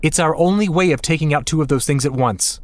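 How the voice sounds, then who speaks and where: An actor playing an insecure, earnest young team captain in an audio drama, speaking with a pressured sincerity. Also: male voice, a little high, brisk, slight background noise, natural voice. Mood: determined.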